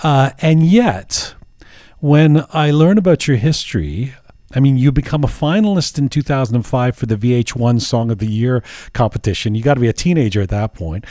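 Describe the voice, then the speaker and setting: A man's voice: medium pitch, lively intonation, normal speed, warm tone, no echo, studio quality. A man hosting an interview podcast, musing aloud with many restarts and false starts. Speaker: podcast host.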